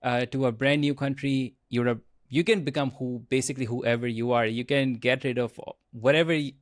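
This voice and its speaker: A man hosting a podcast, asking questions in a halting way with many restarts. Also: mid-range voice, fairly even intonation, dry recording, clean studio sound. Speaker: podcast host. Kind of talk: casual interview questions.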